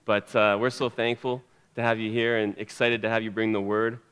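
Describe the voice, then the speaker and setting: A man's voice: fairly low pitch, little pitch movement, moderate pace, fairly bright tone, clean tone. A man introducing a guest preacher at church with rambling jokes and many fillers. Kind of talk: humorous introduction.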